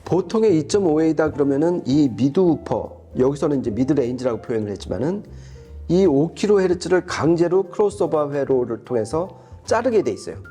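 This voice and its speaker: A man with a mellow, relaxed voice talks through a product review. He explains things step by step and often rephrases himself. Faint music plays behind him. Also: a little high, a little fast. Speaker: audio reviewer and co-host.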